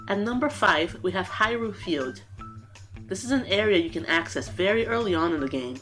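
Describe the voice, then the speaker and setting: A woman's voice: medium pitch, animated, brisk, fairly bright tone, soft background music. A woman with a nasal, congested voice from a cold, giving an earnest, scripted game ranking. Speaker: gaming YouTuber.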